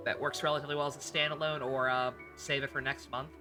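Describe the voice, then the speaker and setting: A man's voice: mid-range voice, little pitch movement, quick pace. A man streaming a video game, chatting informally and thinking aloud in a rambling way. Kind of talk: casual livestream chat over gameplay. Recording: game music and dialogue behind.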